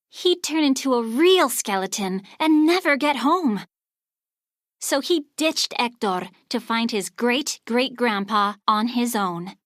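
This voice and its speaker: A woman narrating a children's storybook aloud in an expressive, scripted read-along style. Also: on the high side, no room sound, studio quality.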